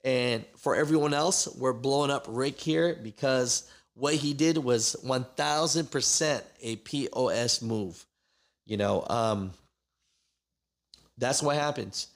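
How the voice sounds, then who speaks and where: Man, trucking channel host, gravelly voice, casual news recap, lots of fillers. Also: medium pitch, slow. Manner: conversational, many fillers.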